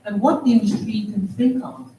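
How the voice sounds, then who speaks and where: A woman's voice: medium pitch, moderate pace, mellow. Trinidadian woman moderating in an echoey hall. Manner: earnest, rhetorical questioning. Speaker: panel moderator. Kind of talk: conference panel moderation. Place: conference hall. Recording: echoey hall, distant mic, faint noise.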